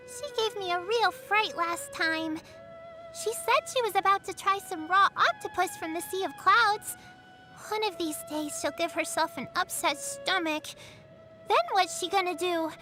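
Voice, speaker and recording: A woman's voice: very high-pitched, a little slow. A woman voicing a sweet, earnest little girl in an anime game, caring, innocent and chatty. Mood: worried. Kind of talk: anime game character voicelines. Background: background music.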